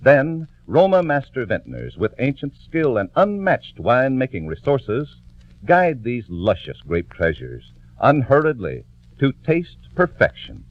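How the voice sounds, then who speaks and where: A man's voice: medium pitch, wildly swooping pitch, unhurried, dry recording. A man reading a scripted 1940s radio commercial as announcer, polished and emphatic. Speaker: radio announcer and sponsor's pitchman. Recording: muffled dry old radio recording.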